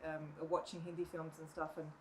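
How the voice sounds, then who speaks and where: A middle-aged woman, a mother talking reflectively in a casual podcast chat, often pausing with "um" and "you know". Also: sits low, level tone. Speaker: guest, mother of the other guest. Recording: faint background noise.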